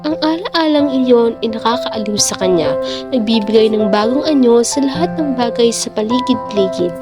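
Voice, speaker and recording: High-pitched woman narrating over music. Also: animated, normal speed. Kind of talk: scripted literary narration for radio drama. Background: background music.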